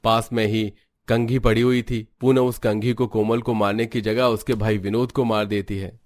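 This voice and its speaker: A man's voice: fairly low pitch, level tone, moderate pace, a little mellow, faint music behind. A man working as narrator, reading a scripted Hindi cartoon family story.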